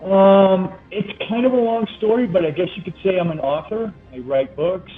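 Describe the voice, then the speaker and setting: A man's voice: high-pitched, moderate pace, faint music behind. An older man from Canada chatting casually on voice chat while gaming, rambling and hesitant, trailing off mid-thought. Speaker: fellow player on voice chat. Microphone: voice-chat mic. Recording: muffled tinny voice-chat mic.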